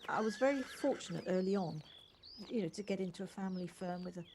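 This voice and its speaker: A woman's voice: fairly low pitch, quick pace, a little mellow, lightly acted. A woman acting an earnest, caring professional in an amateur audio drama. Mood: friendly, reflective. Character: earnest married London lawyer, caring and insistent. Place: at home, recorded remotely. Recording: faint noise, home personal-device recording.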